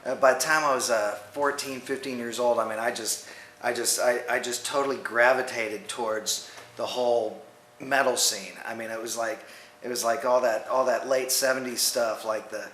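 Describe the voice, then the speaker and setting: An American man from Texas, a musician talking casually and haltingly in a band interview, often repeating himself. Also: medium pitch, fairly even intonation, fairly bright tone. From Dallas, Texas, USA. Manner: rambling, halting, repeats words. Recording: roomy echo, faint noise.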